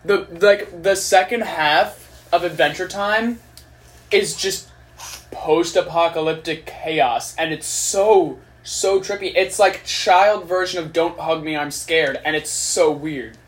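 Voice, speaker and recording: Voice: medium pitch, slow pace, low-quality recording. A young adult holding forth in a loose, casual chat, confident and opinionated, dropping swear words without a second thought. The delivery leans into dramatic retelling.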